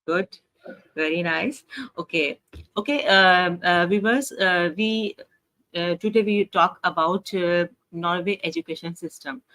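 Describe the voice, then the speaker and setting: A woman hosts an online interview over a video call. Her voice sits a little low, and she speaks hesitantly in simple phrases with frequent fillers. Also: measured pace, sharp tone, rough recording. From Pakistan. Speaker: host.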